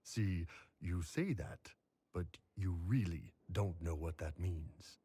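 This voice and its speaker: A man's voice: low voice, takes it slowly, mellow, husky, full character voice. A man playing a big, blunt, laid-back mercenary warrior with a casual manner in a video game. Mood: teasing. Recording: game audio with background ambience.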